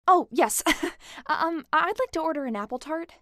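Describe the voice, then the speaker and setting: A woman acting a gentle, hesitant, encouraging cafe customer in a fantasy audio drama. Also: high-pitched, animated, moderate pace, dry recording, clean studio sound. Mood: earnest, shy. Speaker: voice actor in a fiction podcast.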